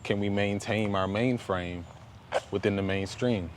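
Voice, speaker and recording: A man's voice: on the low side, fairly even intonation, unhurried. A man talking in a motivational, conversational vlog style, emphatic and building points through repeated phrases. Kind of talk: motivational conversational talk while walking. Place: outdoors, walking up a paved hill. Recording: noisy outdoor recording with traffic.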